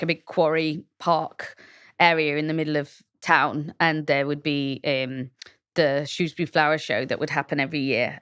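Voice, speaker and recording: A woman from Shropshire with a low, smooth and resonant voice, recorded dry and close. She answers interview questions in a thoughtful, meandering way, full of "um"s and restarts as she gathers her thoughts. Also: a bit bright, dry recording. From England, Shropshire. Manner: reflective, thoughtful, many fillers and restarts. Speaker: designer guest.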